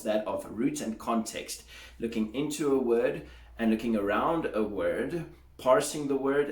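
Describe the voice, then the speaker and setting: A man teaching English pronunciation in a measured, explanatory way, sounding out examples aloud. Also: lowish voice, echoey room. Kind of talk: solo teaching monologue.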